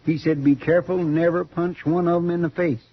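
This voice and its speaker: Folksy, higher-voiced Old West deputy. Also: male voice, steady tone, a little fast, slightly rough. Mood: earnest. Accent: American rural drawl. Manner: deferential, rambling, homespun. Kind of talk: 1950s radio western acting. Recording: muffled, noisy old radio recording.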